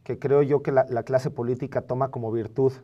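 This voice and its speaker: A man with a Mexican accent speaking as a co-host in an analytical studio discussion, earnest and halting, often repeating words. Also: medium pitch, level tone, dull audio. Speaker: co-host, panelist.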